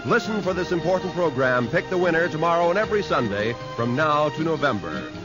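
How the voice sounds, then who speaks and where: A man's voice: a little high, expressive, a little fast. An American man announcing scripted credits in the formal, declamatory style of 1950s radio. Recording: dull 1950s radio broadcast over music.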